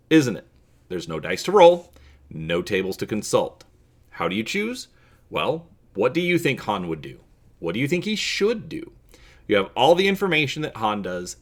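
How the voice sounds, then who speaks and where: A man reading a story aloud as a narrator, in an expressive, theatrical manner. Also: lowish voice. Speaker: reader and channel host.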